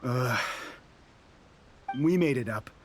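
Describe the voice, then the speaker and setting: An actor playing a zealous, boastful resistance soldier in a video game, with a hearty, declamatory manner. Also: male voice, mid-range voice, expressive, takes it slowly, a little hiss or hum. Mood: confessing. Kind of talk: video game character acting.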